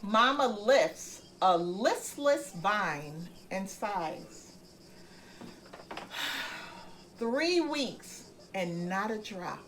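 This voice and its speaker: A woman reading a picture book aloud as a bedtime story for children, speaking gently. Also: mid-range voice, very animated, very slow, pauses often, slight background noise. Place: home room with plants on the table.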